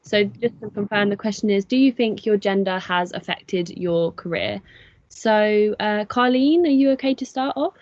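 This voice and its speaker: A woman's voice: mid-range voice, moderate pace, a little mellow, dry recording, muffled recording. A young woman facilitating a panel discussion, polite and a little hesitant, with plenty of fillers. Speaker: student facilitator. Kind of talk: moderating a panel.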